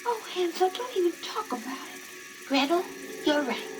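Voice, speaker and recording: A woman's voice: extremely high pitch, expressive, moderate pace, rough recording. A woman playing an anxious, earnest young child in a dramatic fairy tale on an old children's record. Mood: fearful. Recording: old record with loud music.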